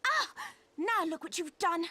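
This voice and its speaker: A woman's voice: very high-pitched, animated, unhurried, heavy background noise. An actress playing a brash, cheerful young female brawler in a video game, with a blunt, informal, cocky way of talking. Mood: defiant.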